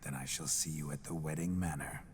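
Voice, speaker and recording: A low-voiced phantom rake in a borrowed body in a fantasy game. He boasts in flowery, courtly phrases, with some ambient noise around him. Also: fairly even intonation, brisk. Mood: charming, boastful. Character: roguish ghost nobleman speaking through a monster hunter's body. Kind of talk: video game character acting.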